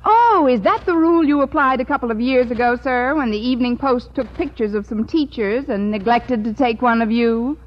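An actress playing a wry, quick-witted schoolteacher in a radio comedy, with dry, wisecracking delivery. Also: female voice, on the high side, close to an everyday voice. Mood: polite, sly. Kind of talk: 1950s radio comedy acting. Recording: muffled, noisy old radio recording.

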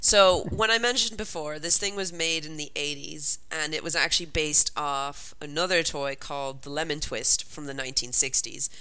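A chatty woman co-hosts a casual podcast and rambles along with plenty of ums. She sounds a little sniffly from a cold. Her voice is bright, crisp and clear. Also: low-pitched, normal speed, hardly any pauses, low-quality recording. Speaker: podcast co-host. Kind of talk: casual chat about retro toy commercials.

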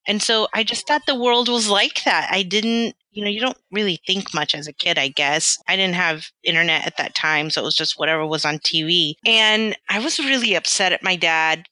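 A woman working as a physical therapist, talking about her childhood in a relaxed interview, rambling and restarting often with small laughs. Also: lowish voice, moderate pace, few pauses, no room sound, low-quality recording. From England. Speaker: guest. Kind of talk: casual interview conversation.

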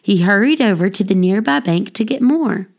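A woman reading a children's story aloud as scripted narration, with expressive, deliberate phrasing for young listeners. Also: mid-range voice, creaky. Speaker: audiobook reader, children's storyteller.

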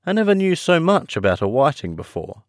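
A man's voice: fairly high pitch, very animated, brisk, no room sound, studio quality, pitched above the performer's own voice. A man voicing a polite, curious and slightly timid young girl in a children's storybook, in an earnest, wondering manner. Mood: polite, thoughtful.

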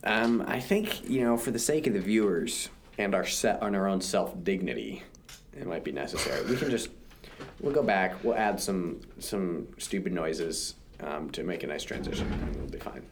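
A young man co-hosting a podcast, teasing and joking in casual banter, with a deep voice while a little sick. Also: animated, normal speed, background noise. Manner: teasing, joking, self-mocking. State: slightly sick. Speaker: podcast co-host.

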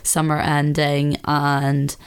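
Twenties female podcast host, slightly husky, low voice, casual and rambling. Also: slow. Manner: chatty, relaxed, lots of fillers. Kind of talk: casual chat. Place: her bedroom, sitting on bed.

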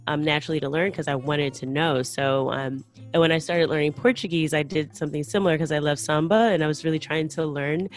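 A woman's voice: sits low, steady tone, brisk, few pauses, faint music behind. A woman hosting a podcast, chatting casually with her guest and using many fillers. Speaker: podcast host. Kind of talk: casual interview chat.

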